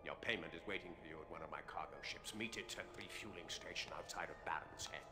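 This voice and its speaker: An actor playing a cold, scheming dark Jedi villain in a video game cutscene, commanding and deliberate. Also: male voice, low voice, close to an everyday voice. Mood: imperious, scheming. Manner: imperious, deliberate. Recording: echoey game cutscene under music.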